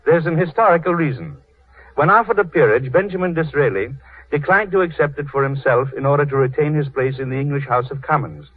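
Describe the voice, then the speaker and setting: Formal male announcer for vintage radio theater: declamatory, rough-edged voice, narrow phone-like old recording. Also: on the high side, brisk. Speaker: host and narrator of a radio drama series. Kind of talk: formal 1930s scripted radio presentation. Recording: tinny, husky 1930s radio recording.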